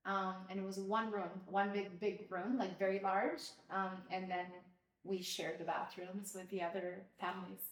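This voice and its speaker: A woman's voice: mid-range voice, little pitch movement, normal speed. Woman from Albania recalling her childhood. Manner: reflective storytelling with frequent fillers. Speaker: podcast host, mother of co-host. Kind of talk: casual personal conversation. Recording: roomy, distant from the mic.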